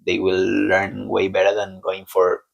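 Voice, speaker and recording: Deep gravelly Spanish-accented man. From Spain. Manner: relaxed, halting, laughs often. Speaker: guest. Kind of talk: casual conversation.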